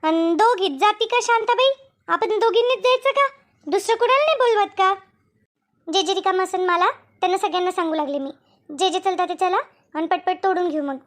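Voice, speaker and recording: A woman's voice: very high-pitched, moderate pace. A woman voicing a chatty village woman in a comic cartoon, with a rural Maharashtrian Marathi accent and colloquial, repetitive talk. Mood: brisk, friendly. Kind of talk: animated comedy dialogue.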